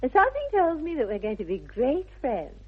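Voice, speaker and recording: A woman's voice: sits high, huge pitch swings, normal speed, husky, heavily acted. An actress playing a haughty, self-assured young aristocratic lady with an upper-class English accent and affected, precise politeness, in a 1960s radio comedy of manners. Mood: gushing, gracious. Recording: dull vintage 1960s radio recording.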